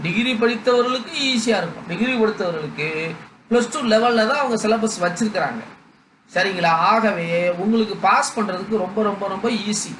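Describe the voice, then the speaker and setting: Casting: mature male coaching teacher, Tamil accent, high voice, didactic delivery, echoey recording. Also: a bit bright. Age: middle-aged or older. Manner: didactic, reading out numbered lists with frequent check-in questions. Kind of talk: instructional lecture to students. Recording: echoey room with background noise.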